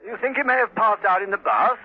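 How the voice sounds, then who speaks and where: High, clipped, husky army colonel. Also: male voice, quick pace. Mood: brisk, commanding. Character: commanding army colonel giving clipped orders by walkie-talkie. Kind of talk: 1970s radio drama acting. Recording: dull, lo-fi old radio recording.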